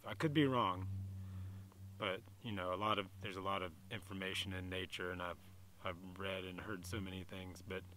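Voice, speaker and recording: A man's voice: low-pitched, a little hiss or hum. Laid-back man vlogging in the woods. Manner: relaxed, rambling, with fillers and restarts. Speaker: outdoors vlogger. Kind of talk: casual vlog to camera. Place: outdoors in a summer forest. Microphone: handheld camera at arm's length.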